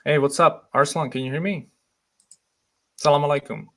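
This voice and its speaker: A young Slovak man with a Slovak accent, hosting a casual livestream, reading comments and thinking aloud in a relaxed way with frequent laughs. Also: a little high, normal speed. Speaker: livestream host.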